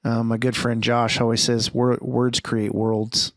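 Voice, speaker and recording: A man's voice: mid-range voice, little pitch movement, measured pace, no room sound, clean studio sound. Earnest man of forty musing aloud. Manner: earnest, thinking aloud with restarts and rhetorical questions. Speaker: podcast host. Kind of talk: reflective solo monologue.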